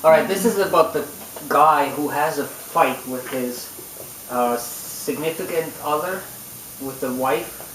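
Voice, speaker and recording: A man's voice: fairly high pitch, a little slow, a bit bright, a little hiss or hum. A man co-hosting an amateur talk show in a casual, wry way. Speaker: co-host and amateur singer-songwriter. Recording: echoey room, distant microphone.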